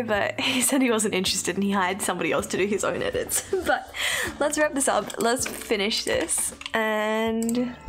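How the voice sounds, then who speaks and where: A young Australian woman streaming from her bedroom, chatting casually with viewers, giggling often and apologizing a lot. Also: fairly high pitch. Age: young adult. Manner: chatty, giggly, apologetic, reads chat aloud. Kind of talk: casual chat with live chat viewers. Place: bedroom at home, indoors.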